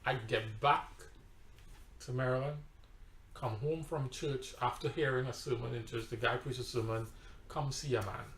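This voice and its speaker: A man's voice: mid-range voice, steady tone, moderate pace, fairly bright tone, a little hiss or hum. A pastor with a Trinidadian accent telling a personal testimony in conversation, emphatic and storytelling, sometimes repeating his words. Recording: roomy echo.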